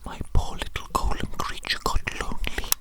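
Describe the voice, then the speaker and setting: A performer acting out an evil mad scientist in a whispered ASMR roleplay. The voice is all breath, hushed and unvoiced, lingering theatrically over repeated phrases with a doting, sinister air. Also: moderate pace, lightly acted. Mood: pitying, coaxing.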